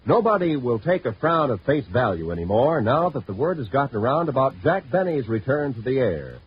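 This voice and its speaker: Old time american radio announcer guy, lively pitch, muffled w bit of noise. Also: fairly quick. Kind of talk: 1950s network radio announcing and promo. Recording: muffled, noisy vintage radio recording.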